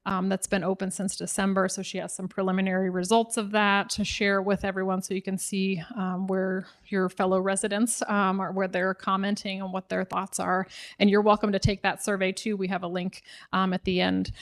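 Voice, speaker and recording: A woman official with a Midwestern American accent lays out local regulations for residents at a public meeting. She speaks in a mid-range voice, explaining step by step and often pausing on "um" or restarting a phrase. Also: medium pitch, level tone. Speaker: city planning official.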